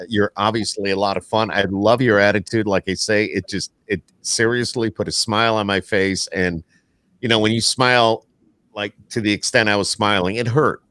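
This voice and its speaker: A man's voice: fairly low pitch, fairly bright tone, no room sound. A man with an upstate New York accent from Syracuse, hosting a podcast in casual, chatty interview style. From Northeastern United States. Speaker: podcast host.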